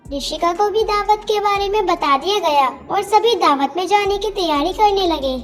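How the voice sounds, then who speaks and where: A woman narrating a scripted cartoon family story. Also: very high-pitched.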